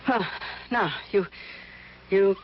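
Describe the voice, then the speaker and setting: An actress playing an ailing, overweight, wealthy middle-aged recluse in a radio drama, speaking in a halting, imperious, fussy way. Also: female voice, mid-range voice, slight background noise, lightly acted. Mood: weary, imperious. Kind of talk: old-time radio drama acting. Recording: muffled old radio broadcast recording.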